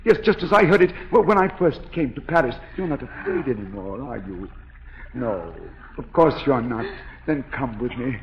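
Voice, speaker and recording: An actor in a 1940s radio drama playing a mad, disfigured masked composer, theatrical and brooding, obsessively tender. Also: male voice, mid-range voice, animated, muffled recording, slight background noise, natural voice. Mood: brooding, eerily tender. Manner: theatrical, slow, brooding speech that swells into frenzy. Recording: echoey 1940s radio broadcast with music.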